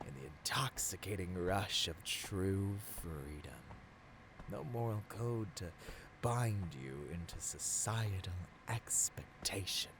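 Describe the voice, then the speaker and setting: A man's voice: fairly low pitch, slight background noise. A man playing a seductive, arrogant supervillain in a theatrical ASMR roleplay, silky and grandiose, slipping into whispers. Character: seductive, arrogant male supervillain tempting a hero.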